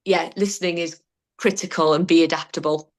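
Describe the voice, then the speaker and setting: A woman's voice: on the low side, steady tone, fairly quick. A middle-aged woman with a Geordie accent, a hotel director speaking reflectively in a business interview, with frequent ums and restarts. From Newcastle, England.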